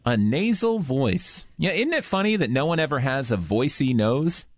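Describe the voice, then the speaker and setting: A man's voice: mid-range voice, lively intonation, normal speed. A man hosting a radio show in a studio, bantering with a caller and cracking self-mocking jokes. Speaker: radio host.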